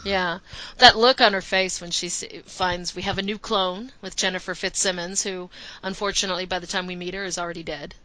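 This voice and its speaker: A woman from Texas with a mild Texan accent, co-hosting a casual podcast, chatty and quick to laugh. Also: on the low side, rough recording. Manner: chatty, laughs often, animated asides. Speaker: podcast co-host.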